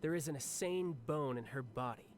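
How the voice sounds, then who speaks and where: An actor playing a cocky, cheerful young warrior officer in a video game, speaking in a breezy, confident, conversational way. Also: male voice, medium pitch, moderate pace, no echo, lightly acted. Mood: frank, resolute. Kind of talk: scripted video game character monologue.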